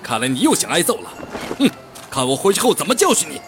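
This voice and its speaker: A man's voice: fairly high pitch, very animated, fast. A man playing an angry, bullying fantasy character who scolds and threatens in an audio drama. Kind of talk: Chinese audio drama acting. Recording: music under voice.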